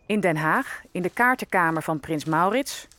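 An actress playing a sorrowful, observant enslaved African woman, narrating an audio drama in a measured, reflective way. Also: fairly low pitch, expressive, pushed and bright. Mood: somber, reflective.

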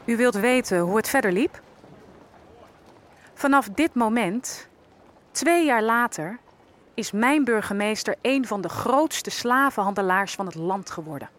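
An actress playing a wry, knowing enslaved woman who survived, telling her life story in a measured way for an audio drama. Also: a little high, animated, a bit bright. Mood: knowing, ominous. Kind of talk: dramatised first-person narration in an audio drama.

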